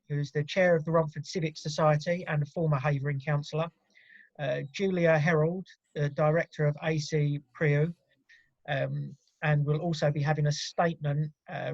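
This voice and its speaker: A man facilitating an online meeting, giving a brisk, businesslike and informal introduction. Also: on the high side, no echo, dull audio. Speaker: meeting facilitator, political staffer.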